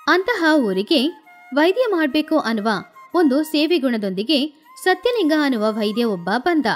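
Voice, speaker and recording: A woman narrating a scripted moral story with expressive, animated delivery. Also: high voice.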